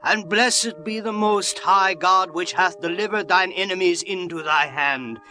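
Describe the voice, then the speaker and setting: A man's voice: high-pitched, measured pace, sharp tone, exaggerated voice. A man doing a lofty ancient priest-king. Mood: reverent.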